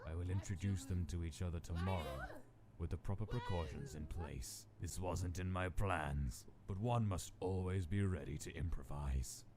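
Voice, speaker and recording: A man playing a cold, calculating skeleton scientist who narrates a laboratory log in a measured, clinical way in a comic dub. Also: deep voice, a little mellow, slight background noise, close to an everyday voice. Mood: detached, wary. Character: cold, calculating male skeleton scientist observing his test subjects. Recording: music playing under the voice. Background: music.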